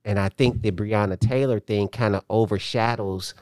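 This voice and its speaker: A man, a guest on a chat show, laid-back and quick to laugh, using casual slang. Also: lowish voice, fairly even intonation, a little mellow, no room sound, clean studio sound. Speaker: pastor and business owner, guest.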